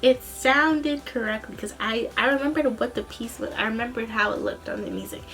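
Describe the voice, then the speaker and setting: A young woman telling a story in a casual, rambling vlog style, animated, with a slightly tired, tense voice. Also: on the high side, fairly quick, faint music behind, poor audio. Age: young adult. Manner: animated, rambling, acts out quoted dialogue. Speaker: student singer and vlogger.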